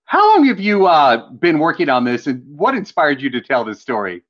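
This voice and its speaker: A man's voice: a little high, wildly swooping pitch, normal speed, fairly bright tone, muffled recording. A male interviewer on a video call, friendly and enthusiastic, using slangy praise for his guest. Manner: friendly, enthusiastic, calls guest "bud" and "dude". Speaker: entertainment interviewer. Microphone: webcam on video call.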